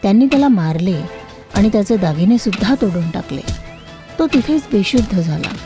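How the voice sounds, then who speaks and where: Bright-toned woman narrating a story over music. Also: mid-range voice, animated, normal speed.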